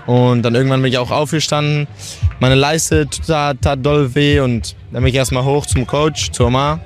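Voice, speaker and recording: A man's voice: medium pitch, moderate pace, faint music behind. A nineteen-year-old man, raised in Portugal, speaking in an interview about skating with frequent restarts. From Germany, raised in Portugal. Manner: relaxed, hesitant with restarts. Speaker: skateboarder.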